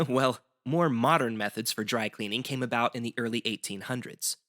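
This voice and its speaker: A man's voice: medium pitch, normal speed, fairly bright tone. A man as a guest explaining history in semi-scripted educational banter, articulate and wry.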